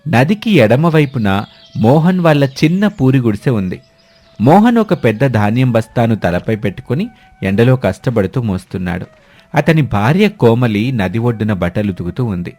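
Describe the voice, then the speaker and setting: A man's voice: medium pitch, big pitch swings, moderate pace, darkish tone. A man narrating a scripted story. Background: background music.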